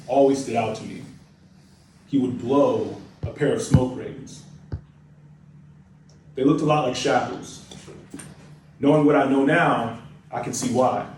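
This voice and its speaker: A young American man reciting spoken word poetry with dramatic, deliberate phrasing and emphatic repetition. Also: mid-range voice, darkish tone. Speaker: spoken word poet, nursing student. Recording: echoey room, distant mic, faint noise.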